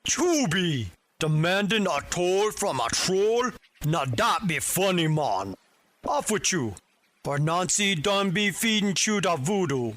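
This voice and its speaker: A man's voice: high voice, very animated, takes it slowly, a bit bright, faint music behind, exaggerated voice. A man playing a cheerful, teasing troll shaman with a mock Caribbean accent in a fantasy audio drama. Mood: boastful, amused.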